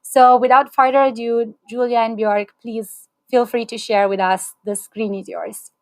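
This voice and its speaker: A woman's voice: fairly high pitch, steady tone, unhurried, no room sound. A woman in her thirties hosting an online series on a video call, upbeat and chatty with small restarts. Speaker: host. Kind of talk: livestream webinar hosting. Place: small indoor room, plain wall.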